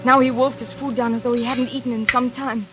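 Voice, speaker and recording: An actress playing a frightened, dutiful young daughter who narrates a 1940s radio thriller in a tense, earnest, measured way. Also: female voice, a little high, flat delivery, very noisy, lightly acted. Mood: dread. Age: young adult.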